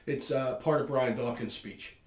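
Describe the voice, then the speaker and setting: A man's voice: mid-range voice, moderate pace. A man of thirty talking casually and earnestly as a podcast guest, reflective and emphatic about what he says. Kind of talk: casual long-form conversation. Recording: echoey, reverberant room.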